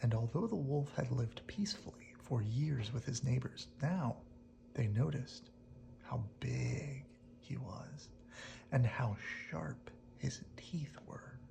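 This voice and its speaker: A storyteller reading a picture book aloud in a hushed bedtime style, often dropping to a whisper. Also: medium pitch, expressive, takes it slowly, gravelly.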